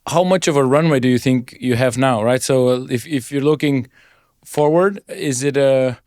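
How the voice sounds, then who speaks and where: A man with a clear, smooth voice interviews in a relaxed conversational format. He is tentative, circling and rewording his questions, and the recording is dry and close. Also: mid-range voice, moderate pace, no room sound. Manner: tentative, rephrases questions, listens with murmurs. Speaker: podcast host, interviewer.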